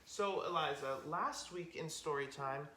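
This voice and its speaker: A woman's voice: sits low, a little slow, a bit bright. A woman hosting storytime for young children, explaining things simply and directly as she talks and tells stories. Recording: roomy echo, music behind.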